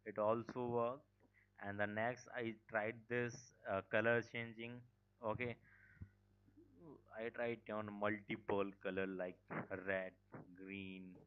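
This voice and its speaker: A man's voice: lowish voice, flat delivery, slow, pauses often, clean tone. Casual man teaching online. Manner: informal, filler-heavy, frequent "okay". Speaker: cybersecurity instructor. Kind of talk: live online class walkthrough. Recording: dull lo-fi call audio.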